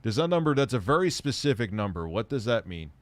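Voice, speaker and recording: A man's voice: medium pitch, sing-song, fast, dry recording. A man hosting a casual tech livestream, reading viewer comments in a rambling, profane way and laughing often.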